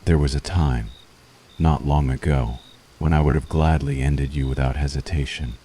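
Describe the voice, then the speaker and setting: A man playing a brooding, conflicted witch hunter in an intense, deliberate ASMR roleplay monologue. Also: very deep voice, steady tone, warm tone, a little hiss or hum. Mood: torn, confessional.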